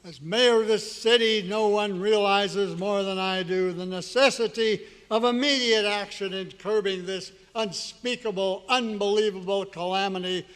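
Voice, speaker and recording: Man as a pompous, high-voiced city mayor. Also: fairly even intonation, moderate pace, few pauses, fairly bright tone, clean tone. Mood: pompous, commanding. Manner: pompous, oratorical, formal. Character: pompous city mayor calling citizens to order. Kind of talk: old-time radio drama acting. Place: hotel convention meeting room, live performance. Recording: long reverb in live hall.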